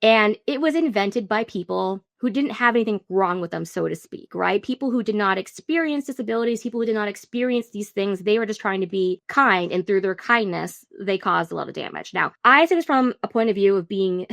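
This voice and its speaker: A woman's voice: medium pitch, lively intonation, fairly quick, creaky, hardly any pauses, a bit bright, no room sound, clean studio sound. A woman hosting a reaction video, talking through her views casually and explaining at length, sometimes with a stutter. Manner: talkative, explanatory, stutters at times. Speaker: commentary video host.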